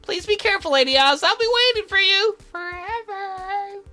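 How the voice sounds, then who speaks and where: A woman's voice: extremely high pitch, lively intonation, moderate pace, pushed and bright, faint music behind, voice pushed up, full character voice. A woman voicing a fussy, devoted magical attendant in a visual novel, prim and snippy but deferential to a lady. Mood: earnest, worried.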